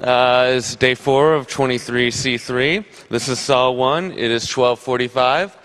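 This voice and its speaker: Man at mid pitch, procedural session announcer, long lecture-hall reverb. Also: measured pace, fairly bright tone, clear, resonant tone. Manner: plain, orderly, procedural. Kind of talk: event announcement.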